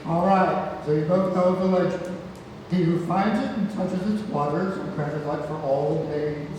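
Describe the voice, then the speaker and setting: A man's voice: a little high, steady tone, unhurried, darkish tone, lightly acted. A man at a play read-through acting a protective, earnest grandfather who poses as a golden idol. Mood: instructive. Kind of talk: stage play table read. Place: theatre room, recorded from far off. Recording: distant echoey hall, clear background noise.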